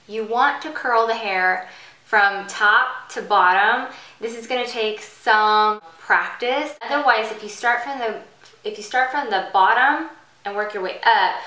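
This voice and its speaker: A woman's voice: mid-range voice, echoey room. A woman hosting a casual step-by-step hairstyling tutorial, explaining in an instructional way.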